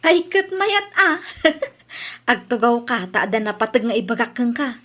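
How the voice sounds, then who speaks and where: A woman's voice: on the high side, very animated, a little slow, a bit bright, slight background noise. A woman with an Ilocano accent playing a brash, defensive, argumentative mother in a comedy audio drama. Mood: chatty. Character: brash, defensive mother, stubborn debtor, quick to argue.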